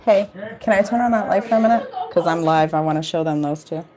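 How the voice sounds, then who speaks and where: A woman with a low, deep-set voice and a Worcester, Massachusetts accent, rambling through a casual live-stream chat. She talks bluntly and swears freely. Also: quick pace, noisy background. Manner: blunt, profane and chatty. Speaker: YouTuber hosting a live stream.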